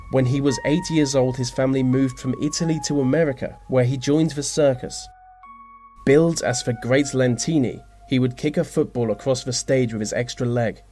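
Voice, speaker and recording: A man reading scripted narration for a list video, slipping into dry, deadpan asides. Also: mid-range voice. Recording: music behind the voice. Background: background music.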